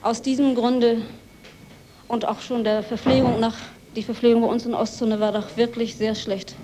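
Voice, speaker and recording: A woman's voice: on the high side, flat delivery, moderate pace, crowd noise, rough recording. A woman explaining her situation as an applicant, pleading in plain words on an old recording. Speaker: refugee applicant. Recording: old recording, clear noise and clicks.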